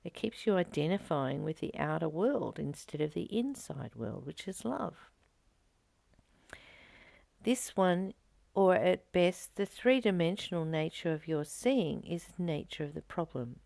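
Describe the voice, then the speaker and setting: Woman, lowish voice, spiritual reading with commentary, meditative, whispery asides. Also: animated, a little slow, vocal fry, no room sound. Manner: soft, drops into whispers to stress a point.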